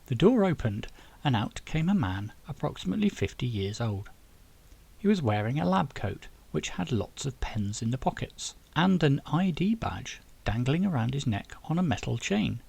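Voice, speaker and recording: A man reads a story aloud in an audiobook style. His voice is husky and gravelly, and his pitch moves around in a lively way as he narrates. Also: normal speed. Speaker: author reading own novel.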